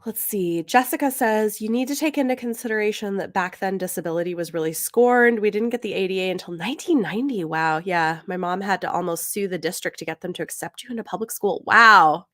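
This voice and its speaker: A woman co-hosting a podcast, chatting casually about books in an informal, halting way as she thinks aloud. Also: mid-range voice, fairly bright tone, no echo, clean studio sound. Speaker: podcast co-host. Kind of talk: casual book discussion chat.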